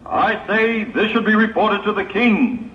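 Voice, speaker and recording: An actor playing a commanding, imperious Ninevite official with theatrical delivery in a 1960s religious audio drama. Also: male voice, high voice, measured pace. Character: commanding male Ninevite official, challenging yet open-minded. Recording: dull, hissy 1960s recording.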